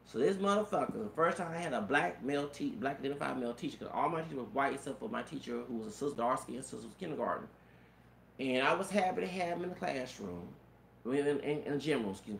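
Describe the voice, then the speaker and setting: A man's voice: medium pitch, lively intonation, fast, vocal fry, a bit bright. A man in his thirties with a feminine-sounding voice, telling a rambling personal story with stammered restarts and cursing. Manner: repetitive stammered restarts, profanity, frequent "you know what I'm saying". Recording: roomy echo, faint background noise.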